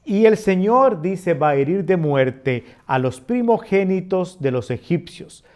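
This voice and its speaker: Man, middle-aged, Costa Rican accent, a bit high-pitched, scripture teacher who reads slowly and builds emphasis. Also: animated, a little mellow. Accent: Costa Rican Spanish. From Costa Rica. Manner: reads passages slowly, then explains with swelling emphasis. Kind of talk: reading scripture and explaining it.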